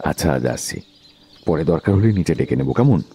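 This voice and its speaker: A man playing a calm, authoritative detective in an audio drama, questioning in a measured, polite but blunt way. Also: a bass voice, a little hiss or hum, exaggerated voice. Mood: polite, probing.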